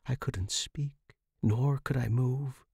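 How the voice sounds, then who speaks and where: A man's voice: medium pitch, fairly even intonation, takes it slowly, gravelly, warm tone, breathy edge, no room sound, studio quality. A man hosting a fiction podcast and narrating scripted horror in a dramatic style.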